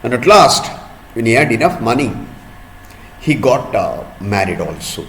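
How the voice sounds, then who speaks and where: A man telling a folk tale to children in a deliberate manner, pausing often and repeating or explaining words as he goes. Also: mid-range voice, very animated, a bit bright, a little hiss or hum, low-quality recording. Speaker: storyteller for children. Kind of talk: storytelling for children, with word explanations.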